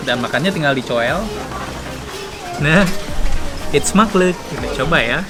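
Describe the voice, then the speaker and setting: A man's voice: on the high side, measured pace. A young man from Indonesia hosting a travel show, tasting food and chatting casually with lots of laughter. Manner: chatty, laughs often, relaxed slangy talk. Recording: loud music under the voice.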